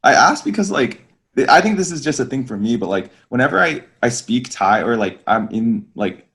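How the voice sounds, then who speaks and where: A man with a mid-pitched voice muses out loud in casual talk, hunting for the right words. He talks freely with friends. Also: big pitch swings, moderate pace. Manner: musing, searching for words. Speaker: podcast guest. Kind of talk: casual chat.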